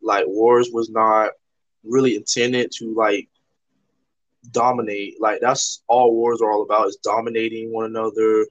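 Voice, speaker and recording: A man's voice: lowish voice, level tone, a little slow, no echo. A man from Toronto hosting a podcast, explaining ideas in an earnest, rambling way with lots of "like" fillers. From Toronto, Canada. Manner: rambling, heavy use of "like" fillers. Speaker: podcast host. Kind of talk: casual conversational discussion. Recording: slightly dull lo-fi audio.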